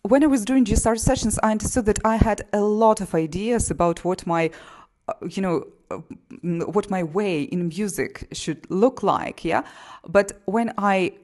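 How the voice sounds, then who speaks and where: A woman's voice: medium pitch, normal speed, a little mellow. A woman from Poland with a Polish accent, explaining her music path and a self-help method in a casual monologue full of "you know". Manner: frequent fillers like "you know" and "you see". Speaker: singer and GSR practitioner.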